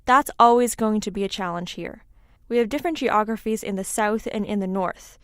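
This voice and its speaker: A woman's voice: mid-range voice, fairly quick, clear, resonant tone, no echo, studio quality. A woman reading scripted local news as a narrator. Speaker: news reader.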